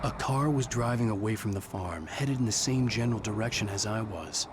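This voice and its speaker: A male actor playing a driven writer obsessed with battling dark forces, in video game dialogue and narration. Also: on the low side, a little fast, noisy background, natural voice. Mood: subdued narration.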